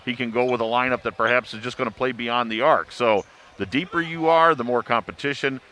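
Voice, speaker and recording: A man's voice: mid-range voice, brisk, bright, forceful tone. A man who works as a sports radio announcer, talking emphatically in a pre-game panel discussion. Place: sports arena. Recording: clear background noise, venue ambience.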